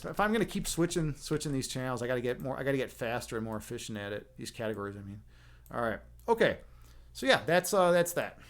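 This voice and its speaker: A man's voice: mid-range voice, gravelly. A man hosting a casual livestream, explaining chess lines as a teacher, rambling with fillers and frequent tag questions.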